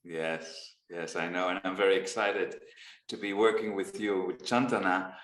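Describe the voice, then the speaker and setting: Low-voiced man speaking slowly in an echoey room. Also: steady tone, a bit bright. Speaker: foundation founder in conversation. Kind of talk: casual video-call conversation. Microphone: video call.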